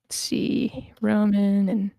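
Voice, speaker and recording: A woman hosts an informal script reading in a casual, self-interrupting way. Her pitch is average and the sound is dry and close, as on an online meeting mic. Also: flat delivery, takes it slowly, creaky, mellow, no echo. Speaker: table read host and narrator. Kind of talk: informal chat and amateur screenplay reading.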